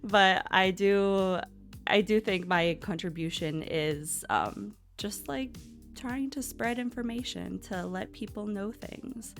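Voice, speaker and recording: A woman, a podcast co-host and commentator, talking in a measured, explanatory way during a casual interview. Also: medium pitch, soft background music.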